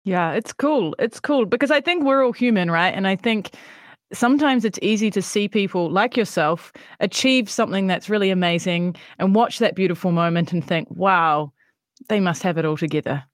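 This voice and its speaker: A woman's voice: medium pitch, normal speed, no room sound, studio quality. A woman hosting a podcast, asking questions in a chatty, complimentary conversational way. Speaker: podcast host.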